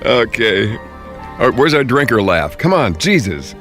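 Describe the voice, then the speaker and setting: A man's voice: mid-range voice, big pitch swings, a little slow, a bit bright. A man hosting a radio show with comedic banter and a theatrical, jokey delivery. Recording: music bed under the voice. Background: music.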